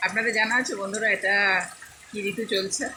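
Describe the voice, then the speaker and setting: A woman's voice: medium pitch, fairly quick. Woman vlogger chatting over noisy rain. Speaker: vlogger addressing viewers. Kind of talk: casual chat to viewers. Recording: loud rain noise over voice. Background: steady rain and falling water.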